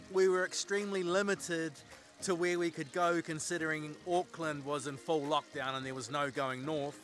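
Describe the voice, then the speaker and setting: A man's voice: on the high side, a bit bright. A man in his forties with a New Zealand accent, chatting cheerfully to camera while fishing from a small boat outdoors, laughing often. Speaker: fishing vlogger. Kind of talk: casual vlog chat to camera. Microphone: handheld camera at arm's length.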